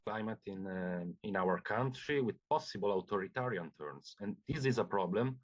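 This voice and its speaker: Man, non-native English, low voice, hesitant interview answers, echoey dull audio. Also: expressive, moderate pace. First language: not English. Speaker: political interview guest.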